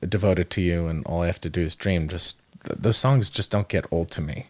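A young male musician speaks earnestly on a podcast, his low voice recorded dry and close. He stops and restarts often as he gathers his thoughts. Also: dry recording. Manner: earnest, halting, many restarts. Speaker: guest musician. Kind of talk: casual interview chat.

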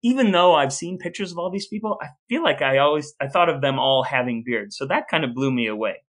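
A man's voice: a little high, animated, a little fast, a bit bright. A man co-hosting a podcast, telling history informally and reading out quoted accounts. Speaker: podcast co-host.

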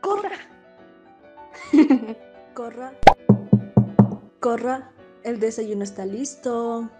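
A woman's voice: fairly high pitch, extremely slow, warm tone, faint music behind. A woman playing a nosy, insistent young girl in an amateur comic fandub. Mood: impatient.

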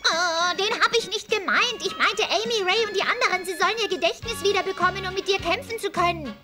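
A woman's voice: very high-pitched, fairly quick, music in the background. A woman voicing an earnest, stern female cat mentor in an anime audio drama, serious and admonishing. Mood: concerned. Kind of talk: German-dubbed anime radio play.

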